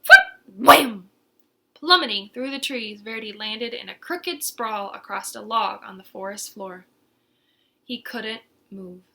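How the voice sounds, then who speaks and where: A woman reading a children's storybook aloud as an expressive narrator, with dramatic, deliberate emphasis. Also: a little high. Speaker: storybook reader.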